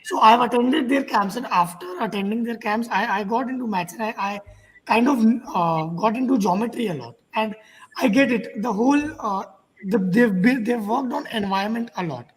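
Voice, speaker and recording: A woman's voice: a little high, normal speed, husky, low-quality recording. A young woman from India giving a casual personal account on a panel, hesitantly piecing her thoughts together.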